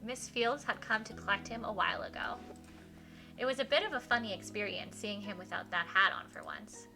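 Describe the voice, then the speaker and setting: A woman's voice: mid-range voice, sing-song, brisk, over music. A woman live streamer reading a visual novel aloud expressively and chatting casually, with enthusiastic, gushing reactions.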